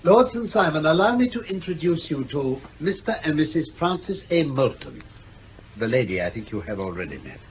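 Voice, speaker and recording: A self-assured English detective, precise, amused, lively intonation, old radio drama, hissy recording. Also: male voice, on the high side, normal speed, lo-fi sound. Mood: persuasive, courteous. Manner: theatrical, urbane, precise. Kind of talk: mid-century radio drama acting.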